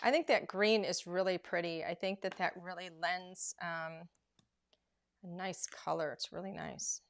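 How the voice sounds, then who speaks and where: A woman's voice: lowish voice, unhurried. Chatty woman hosting a craft demo. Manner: chatty, rambling with many "um"s. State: losing her voice. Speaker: craft show host. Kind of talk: live crafting demonstration.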